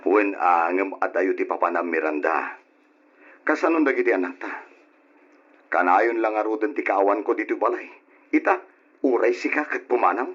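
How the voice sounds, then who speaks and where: A man's voice: lowish voice, lively intonation, moderate pace, pauses often, a bit bright, husky, muffled recording. A man acting a stern, exasperated family father burdened by money worries in an old Ilocano radio drama. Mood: bitter, reproachful.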